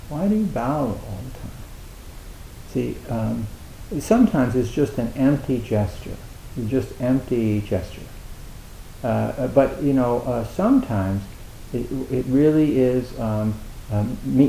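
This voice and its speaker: Gravelly halting Zen teacher. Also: male voice, medium pitch, warm tone, reverberant, slight background noise, low-quality recording. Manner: halting, many restarts, wry self-mocking humor. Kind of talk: dharma talk at a meditation retreat.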